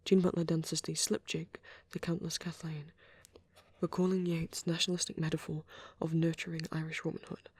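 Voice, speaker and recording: A soft, husky half-whisper with a creaky edge, belonging to a shy vampire boy played by a man in an ASMR roleplay. He speaks gently and haltingly in a narrow, even pitch, as if rambling to a date. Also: dry recording. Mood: soft, musing. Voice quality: breathy, often slipping into whisper. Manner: hesitant, halting, gently spoken.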